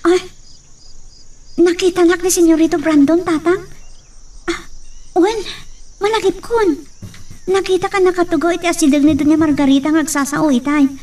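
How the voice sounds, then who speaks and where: Very high-voiced anxious young Ilocano daughter. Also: female voice, steady tone, normal speed, long pauses, a little mellow. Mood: anxious, questioning. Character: anxious young unmarried daughter of poor farming family. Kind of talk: radio drama acting. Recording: distant microphone sound.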